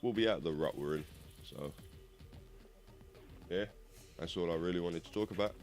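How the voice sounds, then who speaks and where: A young man with a slightly croaky voice, rambling casually and swearing as he hosts a gaming video. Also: low-pitched, moderate pace, a little mellow, faint music behind. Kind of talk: rambling casual monologue over gameplay.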